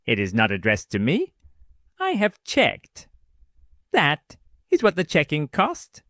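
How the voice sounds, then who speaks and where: An actor playing a weary, learned old man in an audio drama, speaking in deliberate, formal, clipped declarations. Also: on the high side, huge pitch swings, fairly bright tone, no room sound, clean studio sound, full character voice. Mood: solemn, regretful. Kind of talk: dramatic audio fiction dialogue.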